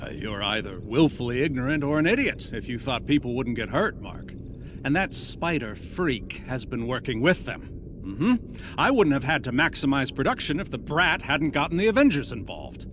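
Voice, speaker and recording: Man acting as bitter, condescending villain engineer, wildly swinging pitch. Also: big pitch swings, normal speed, background noise, lightly acted. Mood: cold contempt. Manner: menacing, condescending. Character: bitter, vengeful villain tech engineer and grieving father. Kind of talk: dramatic video game voice acting.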